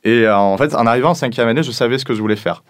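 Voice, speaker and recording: A man's voice: mid-range voice, rapid-fire, dry recording, studio quality. A man, talking informally about himself in an interview. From southern France. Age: 20s. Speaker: business school student interviewee.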